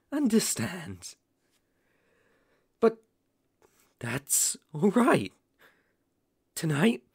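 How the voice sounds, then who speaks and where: A man's voice: fairly high pitch, gravelly, fairly bright tone, dry recording, exaggerated voice. A young man playing a possessive, unhinged yandere boyfriend in an ASMR roleplay, sugary and menacing, theatrical and coaxing with sudden intense swells, close on the microphone. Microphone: close studio microphone.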